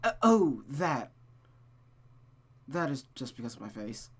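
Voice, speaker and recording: A reader voicing a flustered, earnest young nobleman with a halting, sheepish manner, in a visual novel read aloud. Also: medium pitch, big pitch swings, slightly rough, full character voice.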